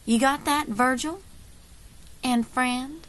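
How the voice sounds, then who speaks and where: A woman playing a confident, folksy frontier leader in an audio drama, wry and commanding, with calm menace. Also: high voice, slow. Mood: firm, unflustered.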